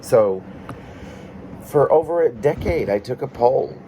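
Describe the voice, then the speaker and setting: A man from Arkansas, a poet presenting and reading his own autobiographical work in an unhurried, personal way. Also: mid-range voice, expressive, mellow, slight background noise, low-quality recording. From Arkansas, United States. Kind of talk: casual introduction, then reading aloud autobiographical prose poem.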